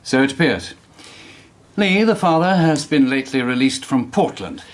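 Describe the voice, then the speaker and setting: An actor playing a brisk, commanding Victorian police inspector in a radio drama, dry in manner. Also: male voice, medium pitch, animated, close to an everyday voice. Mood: measured.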